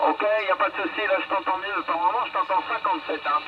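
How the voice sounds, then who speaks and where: A man's voice: sits high, quick pace. A man from France chatting casually over a radio from a moving car, repeating phrases as he reports. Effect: radio transmission. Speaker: mobile amateur radio operator. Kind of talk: casual CB radio contact chat. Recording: dull, very noisy CB radio reception. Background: loud radio and vehicle noise.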